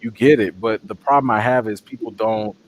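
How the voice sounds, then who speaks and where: A man's voice: fairly quick, a little hiss or hum. A man, a movie reviewer as interview guest, talking in a casual, passionate way. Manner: animated, passionate, jumps up in pitch for quoted voices. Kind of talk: casual conversation.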